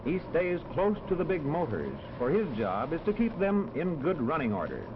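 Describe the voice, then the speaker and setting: A man narrating a 1940s educational film in a measured, instructional manner, on a muffled old soundtrack. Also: on the high side, huge pitch swings, background noise. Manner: clear, measured, instructional delivery.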